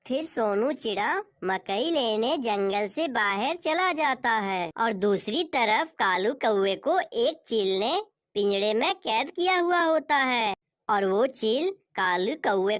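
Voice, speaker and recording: A female narrator tells a scripted children's story. Her voice is somewhat high, and she carries the tale in the third person as a storyteller. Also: expressive, takes it slowly, fairly bright tone.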